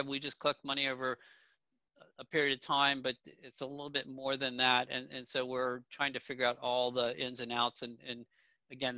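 A man's voice: mid-range voice, sharp tone. A middle-aged man presenting slides at an online association town hall, plain-spoken with frequent ums and restarts. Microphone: video call webcam. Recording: dull, dry video call audio.

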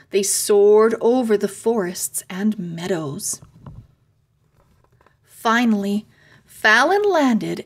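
A woman narrating a children's chapter book aloud, with careful, expressive storybook phrasing. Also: on the high side, husky. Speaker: read-aloud host and narrator.

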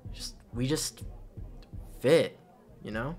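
A young man playing an affectionate, goofy best friend at a party in an intimate audio roleplay, halting and repeating himself in casual slang. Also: medium pitch, faint music behind, close to an everyday voice. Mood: affectionate, nervous.

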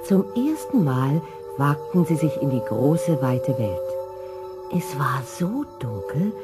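A woman narrating a scripted children's story in an expressive, dramatic manner. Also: sits low, takes it slowly, over loud music.

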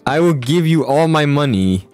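A young man chats casually while roleplaying in a game. His delivery is excitable and slangy, with very lively pitch movement. Soft music plays behind him. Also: normal speed. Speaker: host and player in a school roleplay. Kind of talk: casual improvised gaming roleplay chat.

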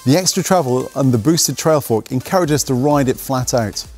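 A young man reads a scripted mountain bike review at a medium pitch. His delivery is brisk, technical and matter-of-fact. Faint music plays quietly underneath his voice. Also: animated.

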